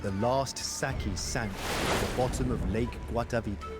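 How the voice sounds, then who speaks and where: An actor playing a passionate, grave war commander and devoted lover, narrating a game cutscene in a solemn, theatrical way. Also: male voice, mid-range voice, moderate pace, lightly acted. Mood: solemn, reflective. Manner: solemn, theatrical storytelling, intense. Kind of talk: dramatic scripted first-person game cutscene narration. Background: music.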